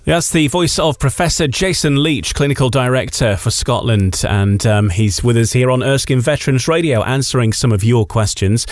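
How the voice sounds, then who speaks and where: A man's voice: mid-range voice, moderate pace, few pauses, no room sound. Male radio host, dry close sound. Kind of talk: radio interview hosting, reading out listeners' questions.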